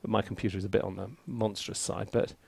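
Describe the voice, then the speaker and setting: A man chatting casually as he hosts a software review, with an animated, theatrical and self-mocking manner. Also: on the low side, fast, vocal fry, husky, no room sound. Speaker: composer and reviewer, video host.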